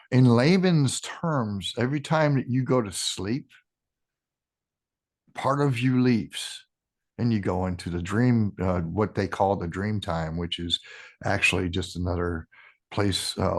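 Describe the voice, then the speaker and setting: A man in his sixties, a podcast guest talking casually in a halting way, restarting sentences with ums. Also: lowish voice. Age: 60s. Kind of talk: casual interview talk.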